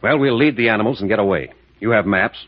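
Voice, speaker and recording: Fast-talking tough captain, played by a man, low-pitched. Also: natural voice. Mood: resolute, plotting. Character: tough, decisive captain and adventurer, gruff and commanding. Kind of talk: 1940s radio adventure acting. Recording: dull old-time radio recording.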